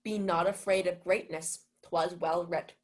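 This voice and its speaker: A woman's voice: lowish voice, fairly even intonation, unhurried. Smug, lovesick manservant played by a woman, grandly declaiming Shakespeare for radio. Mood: pompous. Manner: pompous, declamatory. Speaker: student actor. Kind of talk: Shakespeare in 1940s radio play style.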